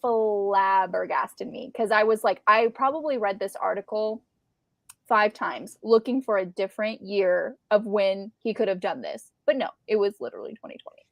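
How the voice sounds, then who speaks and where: A woman co-hosting a casual book discussion, animated and given to repeating words for emphasis. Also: mid-range voice, normal speed, gravelly, no echo. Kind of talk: casual live discussion of classic books.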